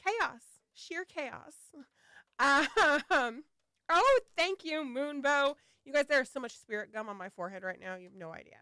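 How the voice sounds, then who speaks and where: A woman's voice: sits high, expressive, a little slow, pushed and bright, no echo. A woman hosting a casual livestream for her shop, chatty and a little scattered, with a slightly nasal voice from a head cold. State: getting over a head cold. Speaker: yarn shop owner hosting a launch livestream.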